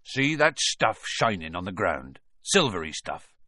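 A man reading an audiobook aloud, voicing a huge, gruff but kindly male gamekeeper with a rustic dialect. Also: sits low, very animated, a little slow, sharp tone, dry recording, clean studio sound, full character voice. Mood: earnest.

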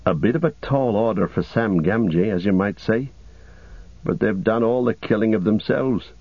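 Loyal hobbit manservant, played by a male reader, humble, homely, practical, husky, in a dull storybook recording. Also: on the low side, moderate pace, dry recording. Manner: deferential, homely rustic phrasing.

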